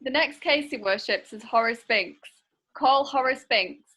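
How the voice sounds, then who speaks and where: A woman's voice: fairly high pitch, unhurried, very noisy, lightly acted. A woman playing an officious court clerk in a radio play, formal and commanding. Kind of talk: comic radio play acting.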